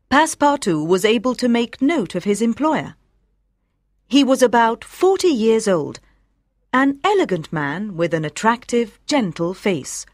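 A woman narrating a scripted story as an audiobook for language learners, with careful, clear phrasing. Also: medium pitch, dry recording, clean studio sound.

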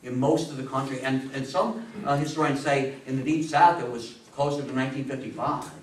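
A man's voice: medium pitch, brisk. Older American man lecturing, distant and roomy. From United States. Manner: animated, conversational, repeats phrases for emphasis. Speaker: public speaker on radio history. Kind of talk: informal live lecture to an audience. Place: small meeting room, indoors. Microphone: far camera microphone. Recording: roomy, noisy, distant camera microphone.

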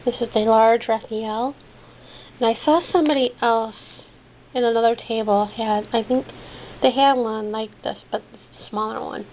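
A woman giving a casual, halting show-and-tell of her craft haul, trailing off and rephrasing as she goes. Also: a little high, steady tone. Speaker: hobby crafter showing purchases. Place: indoors at a desk. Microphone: handheld camera's built-in microphone. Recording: muffled phone-like sound, clicky background noise.

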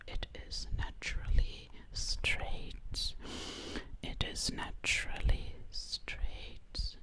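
A young woman doing ASMR role-play as a sweet zombie princess, whispering breathily and close to the mic, lingering on repeated words. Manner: very slow, lingering word repetition. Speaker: ASMR creator. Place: dim living room, indoors. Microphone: close-up ear-to-ear microphone.

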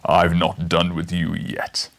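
Huge, bellowing, menacing professor. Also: male voice, completely flat delivery, creaky, fairly bright tone, full character voice. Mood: sinister. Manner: booming, slow, menacing, theatrical. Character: huge bullish bearded menacing bellowing professor, bombastic and sneering. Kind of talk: dramatic audiobook character reading.

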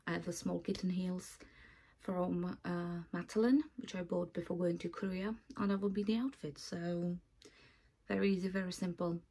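A woman's voice: on the low side, fairly even intonation, normal speed, mellow, husky. A woman in her twenties chatting casually to her vlog audience, recorded on a phone in a small room at home. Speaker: vlogger.

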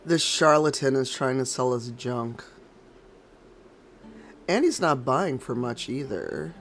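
A man's voice: mid-range voice, very animated, takes it slowly, a bit bright, soft background music. A man streaming a game, congested and coughing, making wry grumbling asides. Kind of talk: casual gameplay commentary.

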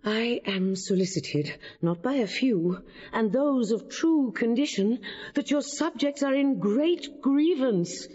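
A woman's voice: on the high side, expressive, slow pace, mellow. A woman playing a dignified, earnest queen pleading boldly in classical verse for an audio drama. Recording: muffled, long hall reverb.

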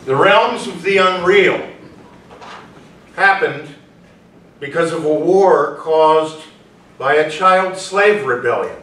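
Man acting a slow, mysterious baritone voice from darkness. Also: a bit bright. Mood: ominous, solemn. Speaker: actor in a staged reading. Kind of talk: theatrical staged reading. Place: small theatre, mic far off at audience camera. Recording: echoey theatre, distant camera mic.

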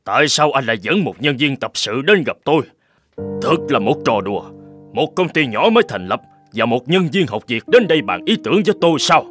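A man's voice: mid-range voice, big pitch swings, brisk, a bit bright, soft background music. A man voicing a stern, demanding and dismissive company director in a cartoon, speaking bluntly and scornfully. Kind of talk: dubbed cartoon dialogue.

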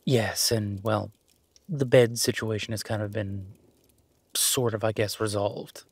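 A man playing a shy, gentlemanly boyfriend in an intimate romantic audio roleplay, speaking softly and haltingly with gentle teasing. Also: fairly low pitch, steady tone, breathy edge, dry recording. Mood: tender, hesitant. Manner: hesitant, soft-spoken, gently teasing.

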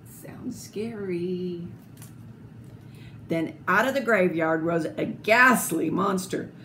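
Slow older woman reading a storybook, noisy recording. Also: mid-range voice, a bit bright. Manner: slow, deliberate, theatrical phrasing. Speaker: storyteller reading picture books aloud. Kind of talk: expressive children's storybook read-aloud with asides. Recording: clearly noisy home video recording.

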